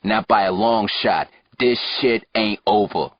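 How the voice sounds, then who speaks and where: A man's voice: on the low side, unhurried, no room sound, muffled recording. A man voicing an angry, defiant young gunman in an audiobook, menacing in manner.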